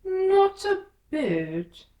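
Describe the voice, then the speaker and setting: A woman voicing a large storybook caterpillar, languid and stern, with curt, drawn-out, scornful speech. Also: very high-pitched, big pitch swings, warm tone, faint music behind, voice pushed up, full character voice. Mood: curt, dismissive. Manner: drawn-out, curt, sleepy delivery. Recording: roomy echo, distant from mic.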